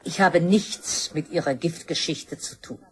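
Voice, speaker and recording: A woman's voice: lowish voice, measured pace, slight background noise, natural voice. An actress playing an evasive, curt older woman doctor in a crime radio play. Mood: guarded, evasive.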